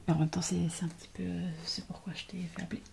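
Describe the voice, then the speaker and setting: A woman playing a hesitant, slightly irritated doctor in an ASMR roleplay, speaking in a hushed way. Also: lowish voice, flat delivery, fast talker, vocal fry, slight background noise. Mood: defensive, annoyed.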